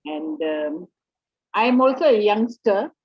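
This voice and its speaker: A woman's voice: mid-range voice, lively intonation, a little slow. An older grandmother joining an online workshop by video call, giggly and self-deprecating. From Malaysia. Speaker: participant, grandmother. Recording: muffled video call audio.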